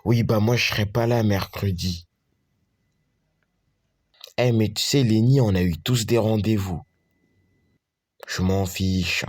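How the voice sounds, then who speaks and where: A man improvising a homemade school story, voicing every character himself in a halting, repetitive way with frequent restarts. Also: fairly low pitch, darkish tone. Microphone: screen-recorder capture.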